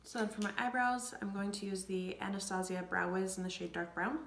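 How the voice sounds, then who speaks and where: Young woman, sniffly from a cold, rambling beauty chat, soft near-whispers, mid pitch, echoey room. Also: level tone, brisk, creaky, slight background noise. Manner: chatty, rambling, many fillers, drops to soft near-whispers. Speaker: beauty vlogger. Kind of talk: casual makeup tutorial chat.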